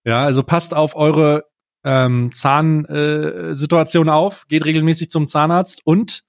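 A man hosting a casual comedic podcast, talking in a halting, informal way with bits of English slang. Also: on the high side, fairly even intonation, vocal fry, no echo, clean studio sound. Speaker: podcast host.

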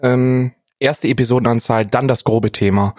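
A man speaks in an average-pitched voice with very little room sound. He co-hosts a casual comedy podcast and jokes along in a sardonic, slangy manner. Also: steady tone, normal speed, darkish tone, no room sound, studio quality. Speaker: podcast co-host.

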